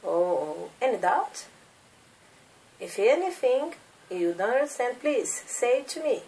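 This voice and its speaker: Slow Brazilian woman teaching English. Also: fairly high pitch, big pitch swings, warm tone, distant mic, poor audio. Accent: Brazilian Portuguese. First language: Portuguese. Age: 30s. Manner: repeats questions, prompts learners to repeat. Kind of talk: slow classroom drilling of questions.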